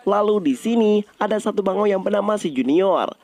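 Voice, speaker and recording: A man's voice: high-pitched, animated, a little fast, darkish tone, soft background music. A man narrates a film recap in a casual, scripted style, addressing viewers directly in colloquial speech. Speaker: movie recap host.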